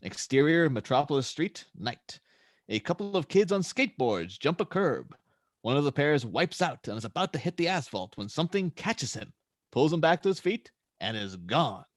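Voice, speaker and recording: A man's voice: on the high side, no room sound, clean studio sound. A man narrating screenplay stage directions at an amateur table read.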